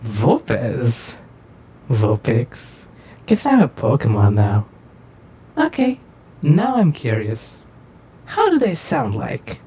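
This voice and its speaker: A teasing, playful princess, played by a woman, with a very deep and lively voice. She speaks slowly with a dark, warm tone, while faint music plays underneath. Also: breathy edge, exaggerated voice. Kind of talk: casual roleplay dialogue.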